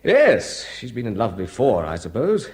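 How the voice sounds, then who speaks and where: An actor playing an anxious, politely persistent young British brother in a radio drama, hesitant and wry, with a husky voice. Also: male voice, on the low side, huge pitch swings, measured pace, darkish tone. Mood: surprised, uneasy. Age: young adult.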